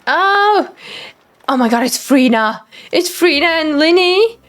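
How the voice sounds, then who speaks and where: A woman streamer giving gushing, excitable live commentary while playing a video game. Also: high-pitched, slow pace. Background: game music.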